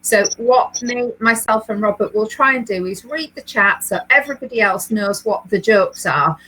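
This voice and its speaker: A woman's voice: mid-range voice, moderate pace, bright, forceful tone, low-quality recording. A woman from Leeds, co-hosting a knitting livestream from home, chatting casually with lots of ums and easy laughs. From England, Leeds area. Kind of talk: casual chat, reading viewer comments.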